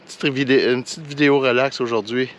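A man with a Quebec French accent chatting casually to the camera as a hiking vlogger, in a relaxed, colloquial and slightly halting way. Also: medium pitch. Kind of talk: casual vlog chat to camera. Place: outdoors on a forest hiking trail and mountain summit. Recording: outdoor ambient noise behind voice.

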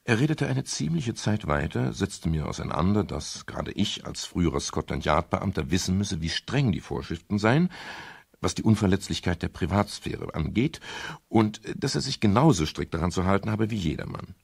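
An actor playing a wry, courteous private detective who narrates a 1970s radio crime drama. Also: male voice, low-pitched, big pitch swings, normal speed, vocal fry, breathy edge, full character voice. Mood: measured, narrating. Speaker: detective narrator and lead character.

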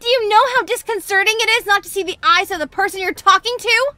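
A woman voicing a quick-tempered teenage girl who swings between flustered and sarcastic, in a comic dub. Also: very high-pitched, background noise. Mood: angry. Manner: flustered, then sharp and sarcastic. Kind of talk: fan comic dub acting.

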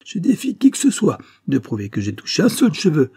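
A man's voice: normal speed, dark tone. A man voicing an irascible, spluttering older former lawyer with a harsh voice, in an audiobook story. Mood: shrill indignation. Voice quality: harsh, rasping, shrill when angry. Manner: spluttering, outraged.

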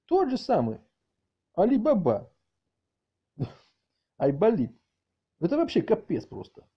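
A middle-aged man with a husky voice doing a mock-outraged comic rant, halting and repeating words as he exclaims. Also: fairly high pitch. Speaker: video channel host. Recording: dull lo-fi audio, faint music behind.

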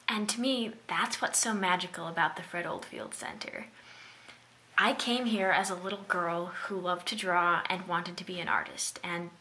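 A woman's voice: mid-range voice, pushed and bright. A young woman giving a prepared keynote speech to a webcam in a small room, telling her story in an earnest way. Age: young adult. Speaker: keynote speaker. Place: small indoor room at home. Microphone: webcam at close range.

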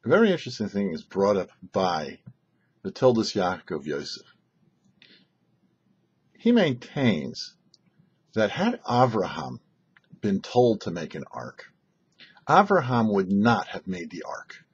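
An older man, a rabbi hosting a short religious teaching, speaking in deliberate phrases with emphatic stresses. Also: fairly low pitch, breathy edge, lo-fi sound. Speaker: rabbi, show host. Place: small indoor set, seated before backdrop.

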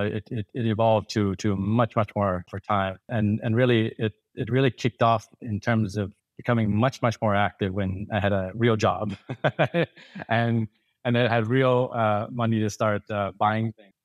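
A man with a lowish voice, recorded dry and close. He is a podcast guest who tells long, rambling stories full of restarts and fillers. He is in his forties and comes from Thailand. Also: no room sound, muffled recording. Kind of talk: casual interview storytelling.

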